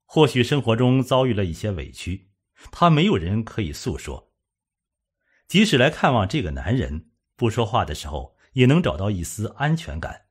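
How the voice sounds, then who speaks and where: A man's voice: fairly low pitch, sing-song, moderate pace, breathy edge. A man narrating a suspense audiobook in a dramatic, solemn storytelling manner.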